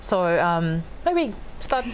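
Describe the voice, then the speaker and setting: A woman's voice: lowish voice, big pitch swings, drawn-out words, crowd noise. A woman moderating a student panel, asking questions in short, friendly prompts.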